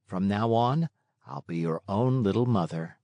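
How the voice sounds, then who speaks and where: A man voicing a motherly fairy woman in a storybook, tender and measured, kind but firm as she moralizes. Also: on the low side, warm tone, no echo, lightly acted.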